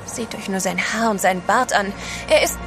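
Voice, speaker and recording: An actress playing a compassionate, easily alarmed gentlewoman at sea in a radio drama. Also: mid-range voice, moderate pace, music in the background, natural voice. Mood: concerned, shocked.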